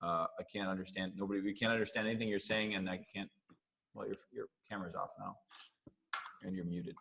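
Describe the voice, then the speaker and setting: A man's voice: low-pitched, steady tone, quick pace, gravelly, fairly bright tone, slight background noise. Easygoing man teaching over a video call. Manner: loose, explanatory, with many fillers. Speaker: music teacher leading a group session. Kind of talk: casual online class talk.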